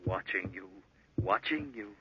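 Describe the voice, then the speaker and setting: Actors voicing the eerie, taunting inner voices of a murderer's guilt in a 1940s radio drama, echoing in whispers. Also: male voice, deep voice, lively intonation, measured pace, noisy background. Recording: muffled old radio broadcast.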